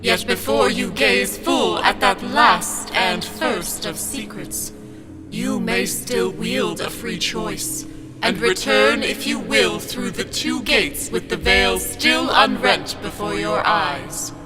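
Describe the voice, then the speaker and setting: A solemn, otherworldly cosmic guide, played by a performer in a radio play, with prominent music behind. The delivery is measured and cryptic, as if revealing ultimate secrets. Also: mid-range voice, expressive.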